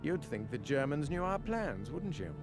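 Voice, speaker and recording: An actor playing a composed British military adviser in a video game, speaking in a dry, understated, clipped way. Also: male voice, mid-range voice, sing-song, normal speed, lightly acted. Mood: dry, wry. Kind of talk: video game cutscene and mission dialogue acting. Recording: loud orchestral game music behind.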